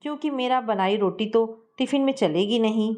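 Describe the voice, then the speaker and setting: A woman's voice: a little high, normal speed, a little mellow, close to an everyday voice. A woman voicing a cheerful, frank young daughter-in-law in a family story, speaking in a chirpy, plain-spoken way.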